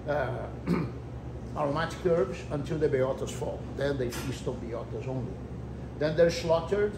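A man in his fifties who owns a restaurant, explaining things in an animated, gesturing way during a casual interview. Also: mid-range voice. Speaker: restaurant owner. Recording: echoey room with ambient noise.

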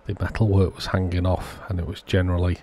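A man with a very deep, husky voice and a soft, dark tone talks through a hands-on repair. He keeps it understated and matter-of-fact, explaining each step as a channel host would. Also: little pitch movement, fast talker. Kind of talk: hands-on repair walkthrough narration.

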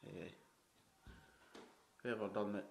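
A man giving a casual walkthrough of a flat he is renovating, chatty and frugal, swearing casually, recorded on a handheld camera indoors. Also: on the low side, takes it slowly, vocal fry. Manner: chatty, frugal, swears casually, repeats "you know what I mean". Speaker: home renovator. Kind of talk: walkthrough tour narration. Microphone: handheld camera mic.

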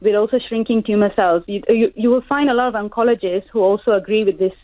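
A young woman from Malaysia calls into a radio show, speaking earnestly and searching for words. Her mid-pitched voice comes through a narrow, tinny phone line that sounds dry and close. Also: quick pace, no echo. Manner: earnest, many ums and restarts. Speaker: caller, pharmacist. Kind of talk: phone-in call.

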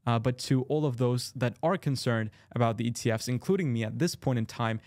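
A man's voice: medium pitch, a little mellow, dry recording. Young man with a sore throat, dry close mic. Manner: quick, filler-heavy, with frequent restarts. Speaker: crypto chart analyst. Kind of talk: casual chart walkthrough. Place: small room at a desk. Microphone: large studio mic close in frame.

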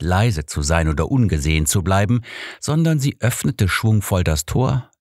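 A man's voice: deep voice, darkish tone, no echo. A man narrating a scripted first-person story as an audiobook reader, close on a studio microphone.

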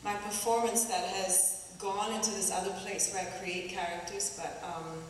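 A woman from Kenya with a Kenyan accent, speaking thoughtfully and haltingly as an artist on a panel stage. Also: medium pitch, steady tone, slight background noise. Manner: thoughtful, halting, many pauses and restarts. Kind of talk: reflective onstage conversation. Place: stage in a large auditorium. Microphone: stand mic a little away. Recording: echoey hall, distant mic.

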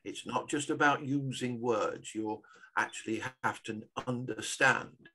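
A man's voice: mid-range voice, measured pace, sharp tone, a little hiss or hum. An older Englishman speaking earnestly and encouragingly as a guest on a video call. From England. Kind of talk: motivational conversation on a video call.

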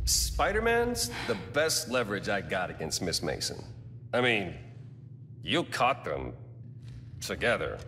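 A smug deep-voiced tech villain. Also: male voice, wildly swooping pitch, bright, forceful tone, slight background noise, exaggerated voice. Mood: scheming. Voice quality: smooth, condescending. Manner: casual, taunting, drawn-out pauses. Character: smug scheming male tech CEO, middle-aged. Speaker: corporate villain. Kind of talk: video game cutscene acting. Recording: game audio with long reverb and faint ambience.

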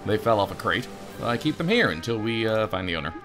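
A man voicing a gruff, evasive male captain in game dialogue, deadpan and dismissive. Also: on the low side, fast, over music. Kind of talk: game dialogue read aloud.

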